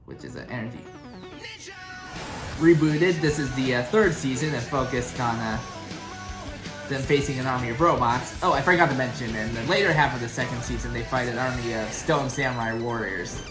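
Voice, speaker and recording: A man gives casual reaction commentary in a mid-pitched voice, rambling and often halting as he goes. The room is echoey, and music plays clearly behind him. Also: lively intonation. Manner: hesitant, halting, informal delivery. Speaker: reaction video host. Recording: roomy echo, loud show music behind.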